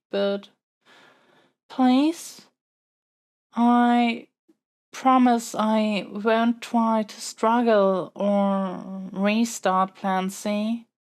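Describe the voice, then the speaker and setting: A woman playing a stubborn, scheming, melodramatic young captive princess in a scripted audio roleplay, with formal, theatrical phrasing and halting pauses. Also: fairly high pitch, fairly even intonation, darkish tone, clear, resonant tone. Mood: sheepish, coy.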